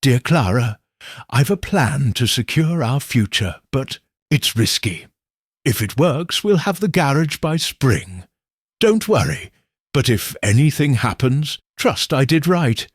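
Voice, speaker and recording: A man's voice: mid-range voice, sing-song, slow, breathy edge, dry recording, studio quality, voice pushed up, heavily acted. A man reading as a hopeful young brother. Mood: earnest. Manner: earnest letter reading. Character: hopeful young man writing to his sister.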